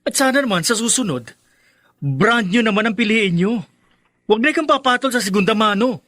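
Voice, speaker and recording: An actor playing a sharp-tongued young man who mocks his departed stepmother, in a radio drama. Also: high voice, lively intonation, fairly quick, dry recording. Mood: scornful. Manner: mocking and spiteful.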